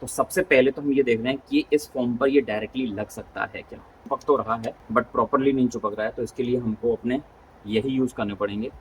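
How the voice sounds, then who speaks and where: A man giving a casual hands-on tutorial as a vlogger, informally thinking aloud step by step. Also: medium pitch. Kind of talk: casual hands-on tutorial chat in Hindi mixed with English words. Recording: clearly noisy background ambience.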